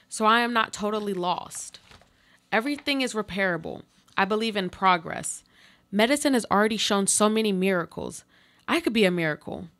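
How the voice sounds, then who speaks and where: A woman playing a frail but resilient dying woman in a live radio play, speaking in a solemn, deliberate, poetic way. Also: mid-range voice, fairly bright tone. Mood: determined, hopeful. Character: frail dying woman, resilient, addressing her other self.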